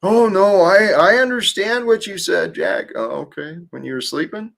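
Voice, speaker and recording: A man hosting a livestream, talking slowly and calmly about faith and gratitude in a soothing, gently preaching manner. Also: high voice, creaky. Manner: slow, calm, gently preaching. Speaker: livestream host. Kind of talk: spiritual livestream talk.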